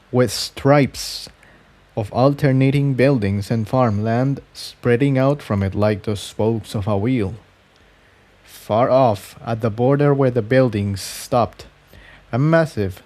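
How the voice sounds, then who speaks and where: A man's voice: medium pitch, mellow. A man reading fan fiction aloud as scripted story narration.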